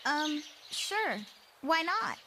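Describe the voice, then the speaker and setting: An excitable teenage girl, played by a woman, high-pitched and very animated. She is inquisitive and eager, a new pupil in an animated comic. Faint rumbling noise is behind her. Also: very slow, exaggerated voice. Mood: curious. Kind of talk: motion comic voice acting.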